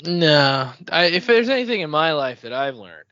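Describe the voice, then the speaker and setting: A man's voice: on the high side, very animated, normal speed, bright, forceful tone, no room sound, muffled recording. A man co-hosting a podcast with casual banter, opinionated and wry, often teasing. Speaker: podcast co-host.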